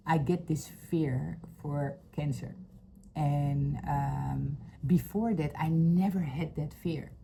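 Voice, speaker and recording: A woman with a Dutch accent, speaking earnestly to camera at home in English, often pausing to search for words. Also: sits low, creaky, slight background noise, rough recording. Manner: searching for words, many fillers, soft and earnest. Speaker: vlogger. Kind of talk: personal heartfelt talk to camera. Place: home room with a couch.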